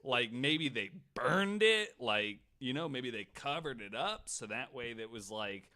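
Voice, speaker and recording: Bright-voiced man swearing through a story. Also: mid-range voice, animated, a little slow. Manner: swears freely; peppers talk with "like" and "right". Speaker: podcast host. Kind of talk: casual storytelling on a panel podcast.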